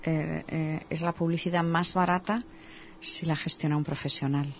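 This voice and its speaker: A woman's voice: deep voice, steady tone, moderate pace, slight background noise. A woman with a Spanish accent from Spain, hosting a casual radio interview and giving everyday examples. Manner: conversational, gives everyday examples. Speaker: radio show host.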